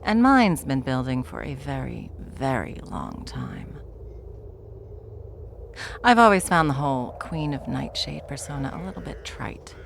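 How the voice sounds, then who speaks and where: A woman playing an ancient, smug, wry stranger in an ASMR fantasy roleplay, with theatrical, taunting delivery and a gravelly voice. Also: extremely low pitch, big pitch swings, a little hiss or hum, heavily acted. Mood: dismissive. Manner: sardonic, theatrical, unhurried taunting. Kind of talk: ASMR audio roleplay acting.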